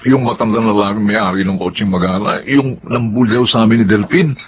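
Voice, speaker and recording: An actor playing a humble, aggrieved dock worker in a Filipino radio drama, speaking plainly. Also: male voice, on the low side, a little fast, a little hiss or hum, natural voice. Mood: puzzled, aggrieved. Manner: plain-spoken, deferential to strangers. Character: humble, aggrieved working-class male dock truck helper.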